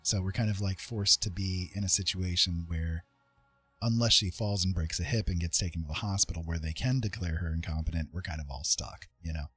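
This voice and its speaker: A man's voice: low-pitched, fairly quick, fairly bright tone, slightly rough, no echo, soft background music. A middle-aged man chatting casually as a livestream host, earnest, hesitant and reflective, thinking as he talks. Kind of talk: casual personal chat.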